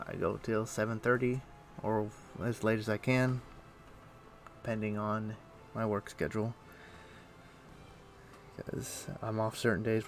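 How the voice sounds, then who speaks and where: Gravelly-voiced man streaming a game. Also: lowish voice. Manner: rambling, thinking aloud to himself. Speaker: livestream host. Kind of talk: casual gaming stream chat.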